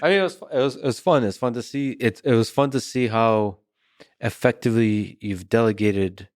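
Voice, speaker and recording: A man hosting a podcast interview, asking measured questions with frequent halting restarts. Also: low-pitched, no echo, studio quality. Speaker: podcast host. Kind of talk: interview conversation.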